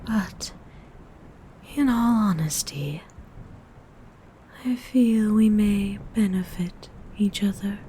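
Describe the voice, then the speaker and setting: Haughty female vampire voiced by a woman, whispered, very slow and drawn out, low and mellow. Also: a little hiss or hum, close to an everyday voice, low-quality recording. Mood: possessive. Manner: haughty, formal phrasing. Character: predatory female vampire, aloof and possessive. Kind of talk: ASMR role-play audio.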